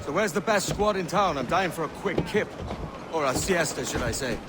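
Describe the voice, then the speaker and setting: A man's voice: on the high side, lively intonation, moderate pace, heavy background noise, natural voice. Wry, roguish pirate played by a man. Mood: wry, casual. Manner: casual, wry. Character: roguish, laid-back pirate man posing as gentleman.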